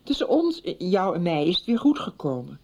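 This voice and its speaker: A suspicious nagging wife in a radio play, lowish mellow voice, very animated. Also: female voice, brisk. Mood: reproachful. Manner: probing, reproachful. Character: suspicious, reproachful wife, sharp-witted and insistent. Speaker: actor in a radio serial. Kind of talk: 1990s Dutch radio soap acting.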